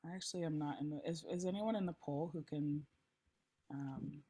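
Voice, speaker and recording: A woman facilitating an online meeting, a little hoarse, friendly and chatty with frequent ums, speaking through a laptop mic on a video call. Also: low-pitched, normal speed, dark tone. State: slightly hoarse. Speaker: session facilitator. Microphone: laptop mic, then headphones.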